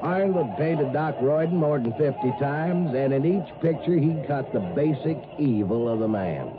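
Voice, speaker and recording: A man's voice: fairly high pitch, expressive, moderate pace, a crowd in the background, natural voice. An actor playing a plain-spoken, decent small-town man in a 1950s radio drama, with a folksy delivery. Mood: storytelling. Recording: tinny 1950s radio, music underneath.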